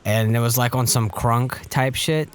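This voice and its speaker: A young man with a mid-pitched voice, chatting on a podcast. He tells his stories in a loose, slangy way and pokes fun at himself. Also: unhurried, smooth. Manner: relaxed, self-mocking storytelling with slang. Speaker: podcast co-host. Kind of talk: casual chat.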